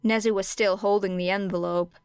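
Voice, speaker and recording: A woman's voice: medium pitch, fairly even intonation, a little fast, darkish tone, smooth, no echo, studio quality. A woman reading scripted fiction as an audiobook narrator.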